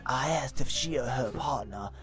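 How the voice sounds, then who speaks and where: A man voicing a self-important, dim hardboiled private eye, narrating a deadpan film noir parody in a melodramatic way. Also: medium pitch, normal speed, natural voice. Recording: music behind the voice. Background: background music.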